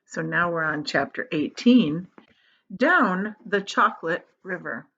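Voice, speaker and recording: A woman reads a children's storybook aloud with very lively, swinging intonation and emphasis on descriptive words. The recording is dry and slightly dull. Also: lowish voice, slow pace, vocal fry, husky, dry recording. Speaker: storybook reader. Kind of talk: expressive children's read-aloud.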